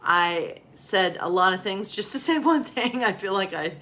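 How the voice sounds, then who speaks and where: A woman's voice: medium pitch, expressive, unhurried, sharp tone. Woman pastor doing bedtime stories for kids, chatty and informal, rambles a bit, talks to you like friends. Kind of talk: casual chat and storybook reading for children.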